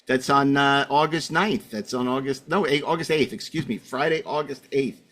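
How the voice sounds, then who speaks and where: A man's voice: medium pitch, moderate pace, a bit bright. A man hosting a podcast in a casual, rambling way, often correcting himself as he goes. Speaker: podcast host.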